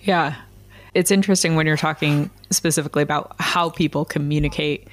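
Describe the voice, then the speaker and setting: A man's voice: a little high, steady tone, brisk, no room sound. An American man hosting a podcast interview, asking friendly, curious questions. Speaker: podcast host.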